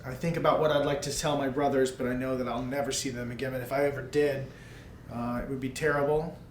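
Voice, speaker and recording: A man with a mid-pitched voice plays a tabletop roleplaying game. He talks casually in character and narrates what he does. The recording is echoey, with faint noise behind him. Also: flat delivery, quick pace. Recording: roomy echo with background noise.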